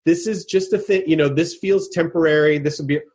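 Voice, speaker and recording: A middle-aged man hosting a casual interview, thinking aloud with many restarts and growing animated when he acts out what someone might say. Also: a little high, brisk. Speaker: podcast host.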